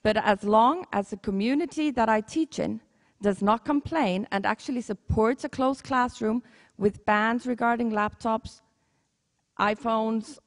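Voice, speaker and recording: Icelandic woman speaking in a reverberant hall. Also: fairly high pitch. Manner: earnest, conversational, with self-corrections. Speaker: teacher giving a short conference talk. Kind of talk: conference talk.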